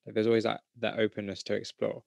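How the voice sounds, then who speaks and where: A man's voice: lowish voice, monotone, fast, vocal fry, darkish tone, no echo, studio quality. A man hosting an art podcast and interview, talking in an encouraging, affirming way. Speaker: host, interviewer. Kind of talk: podcast intro and casual interview.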